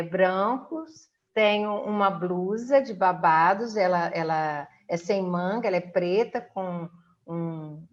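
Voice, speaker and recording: Middle-aged lady from rio hosting an interview, halting delivery, muffled lo-fi call audio. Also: mid-range voice. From Brazil. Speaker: interviewer and host. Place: indoors at home.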